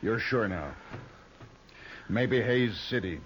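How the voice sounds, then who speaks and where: Gruff low-voiced western outlaw. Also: male voice, lively intonation, slow. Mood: menacing. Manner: gruff, commanding, folksy threats. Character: burly, menacing, domineering Old West outlaw with shotgun. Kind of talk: 1950s radio western acting. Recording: dull old radio recording, faint noise.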